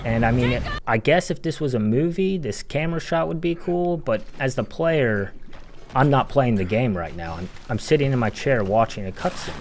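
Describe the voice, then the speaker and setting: A man's voice: medium pitch, lively intonation, normal speed, darkish tone, slight background noise. A man giving opinionated, analytical commentary as a video game critic, talking in an emphatic, conversational way.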